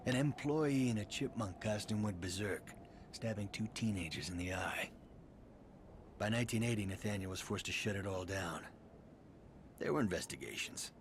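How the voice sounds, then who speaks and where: A man's voice: lowish voice, lively intonation, normal speed. A man playing a bitter, sardonic estranged son of a tycoon in a video game cutscene, speaking with dry weariness. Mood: bitter, haunted. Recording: noisy game capture.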